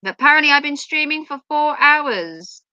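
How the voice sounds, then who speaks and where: A chatty, playful woman talks to her livestream viewers in a high, bright voice that is starting to go hoarse. The sound is dry and close, with a slightly dull, muffled quality. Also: lively intonation, normal speed, no room sound. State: voice going hoarse. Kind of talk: casual chat with viewers.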